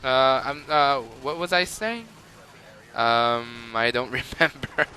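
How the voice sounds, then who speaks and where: A young man with a light Quebec French accent talks over his own gameplay. His voice is bright and forward, and he rambles with fillers and chuckles. There is a faint hiss under it. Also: mid-range voice, slow, poor audio. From Montreal, Canada. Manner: rambling and chatty, with frequent fillers and laughs. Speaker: gamer. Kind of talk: gameplay commentary.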